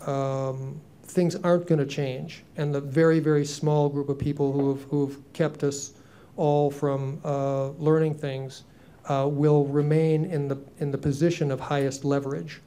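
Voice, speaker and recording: Man in his sixties, fairly high voice, smooth and mellow, earnest panelist, handheld mic. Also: little pitch movement, a little slow. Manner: earnest, reflective, frequent ums and restarts. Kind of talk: spoken panel answer. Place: conference room, seated on stage.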